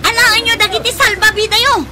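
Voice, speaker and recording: A woman's voice: extremely high pitch, fairly even intonation, brisk. A woman playing a panicked, bossy young woman in a radio drama disaster, exclaiming urgently. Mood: alarmed, urgent. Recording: noisy outdoor sound effects, crowd behind.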